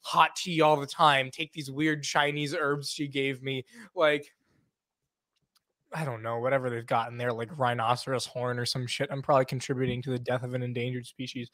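A man's voice: mid-range voice, flat delivery, moderate pace, fairly bright tone, no room sound. A young man co-hosting a podcast in casual, loose banter, swearing and laughing, with a slight cough. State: has a cough. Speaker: podcast co-host. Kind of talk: casual chat.

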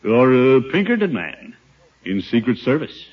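A muffled 1950s radio recording of a pompous American uncle in middle age. His voice swings widely in pitch and has a husky edge, full of theatrical bluster. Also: very animated, moderate pace. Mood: boastful bluster. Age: middle-aged. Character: pompous, blustering middle-aged uncle, fond but easily flustered. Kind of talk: 1950s radio comedy acting.

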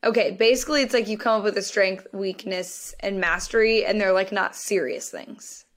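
A woman with a raspy voice co-hosting a podcast, chatting casually and tossing in quick asides. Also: mid-range voice, fairly even intonation, a bit bright. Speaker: podcast co-host. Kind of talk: casual sports chat.

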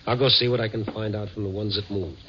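An American actor playing a weary, tough newspaper reporter with a wry, clipped manner in a 1950s radio drama. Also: male voice, lowish voice, fairly even intonation, fast talker, natural voice. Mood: firm, weary. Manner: wry, clipped, hard-boiled. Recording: dull, noisy old radio recording.

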